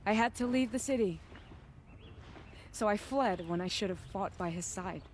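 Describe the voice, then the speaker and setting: A woman's voice: medium pitch, moderate pace, slight background noise, close to an everyday voice. Actress playing a proud, defiant noblewoman hunter. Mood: grieving, bitter. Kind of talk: video game dramatic acting.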